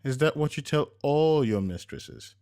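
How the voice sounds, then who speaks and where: Loving, teasing wife of middle years, performed by a male reader, lifted pitch, playful and theatrical, for an audio story. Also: animated, a little slow, a little mellow, clean tone.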